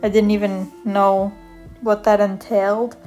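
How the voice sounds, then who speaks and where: A woman's voice: medium pitch, level tone, a little slow. A young woman from Canada chatting casually as she draws, rambling with lots of fillers and self-corrections. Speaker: art vlogger. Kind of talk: casual chat over a draw-with-me video. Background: soft guitar music.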